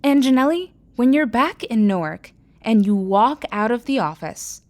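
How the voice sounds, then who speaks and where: An actress playing a calm woman who owns a store and gently guides the younger ones in an audio drama. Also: a little high, normal speed, natural voice. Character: warm older woman Josie, store owner.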